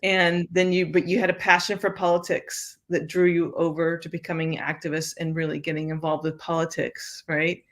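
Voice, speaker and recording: A woman's voice: sits low, flat delivery, normal speed, hardly any pauses. An older woman hosting an interview show, talking casually with many fillers and restarts. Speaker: host.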